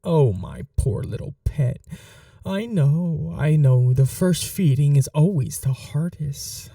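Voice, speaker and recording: Man acting very slow, dark-voiced possessive vampire. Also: on the high side, animated, gravelly, dry recording, clean studio sound, far from the mic, exaggerated voice. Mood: mock soothing. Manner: slow, hushed, dominant, formal endearments, often whispering. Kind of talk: intimate ASMR roleplay, scripted monologue.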